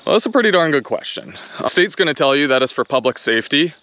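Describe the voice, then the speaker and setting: A young man, a vlog co-host, explaining outdoors in a wooded clearing in a casual, exasperated way, full of "like"s. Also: on the high side, very animated, a bit bright, a little hiss or hum. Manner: exasperated, fast explaining with many "like"s. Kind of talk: casual chat to camera. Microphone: camera-mounted mic, later handheld selfie camera. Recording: outdoor camera audio from a little distance.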